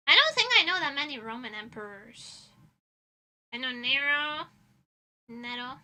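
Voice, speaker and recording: High, very bright young-sounding woman streamer. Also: measured pace. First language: Portuguese. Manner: playful, rambling, animated asides. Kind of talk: casual livestream chat.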